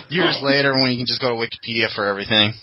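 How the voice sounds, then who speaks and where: A man's voice: medium pitch, fast talker, highs cut off, a little hiss or hum. A man hosting a casual podcast chat, telling rambling anecdotes and laughing often. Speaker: podcast host.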